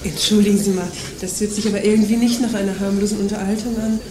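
An actress playing a concerned, assertive fellow shopper in an audio drama, direct and protective. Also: female voice, mid-range voice, fairly even intonation, fast, lightly acted. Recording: echoey space with crowd noise.

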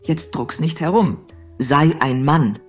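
Radio play: actress for a teasing, commanding dream-therapist madame, deep and very expressive, flirtatious. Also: female voice, slow pace, faint music behind. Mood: mocking. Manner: flirtatious, familiar, in control. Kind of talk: audio drama dialogue.

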